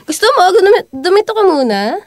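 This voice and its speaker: Woman as a very high-pitched campy teasing friend. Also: sing-song, fairly quick, dry recording, clean studio sound. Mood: teasing, gleeful. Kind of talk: amateur radio drama acting.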